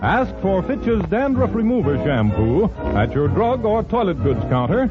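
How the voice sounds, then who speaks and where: High-voiced American 1940s radio announcer man. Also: very animated, measured pace. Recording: muffled 1940s radio broadcast, music behind.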